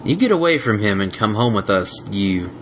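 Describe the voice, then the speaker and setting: Man putting on a high stern farm mare. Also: very animated, moderate pace, fairly bright tone, slight background noise, rough recording. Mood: scornful. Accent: Southern US drawl, put on. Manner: scolding, folksy. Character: stern farm mare, bossy older sister.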